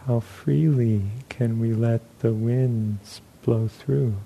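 Very slow, mellow man guiding meditation. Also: medium pitch, fairly even intonation, creaky, slight background noise, rough recording. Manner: unhurried, with long pauses between short phrases. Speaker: meditation teacher. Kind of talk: guided meditation.

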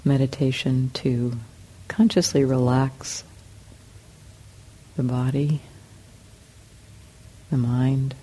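A woman teaching a guided meditation in calm, measured short phrases with long pauses between them. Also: extremely low pitch, breathy edge, low-quality recording. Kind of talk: guided meditation, slow spoken instructions.

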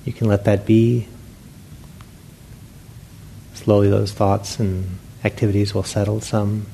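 Male meditation teacher, dark relaxed tone with gravelly fry, speaking gently in spaced-out phrases. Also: on the low side, low-quality recording. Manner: unhurried, gentle guidance with long pauses. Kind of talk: guided meditation instruction to a group. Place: meditation hall with a group. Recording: faint background noise.

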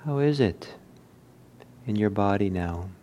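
Male meditation teacher, lowish pitch, slow measured delivery in short phrases with generous pauses. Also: expressive, warm tone. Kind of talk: guided meditation instruction.

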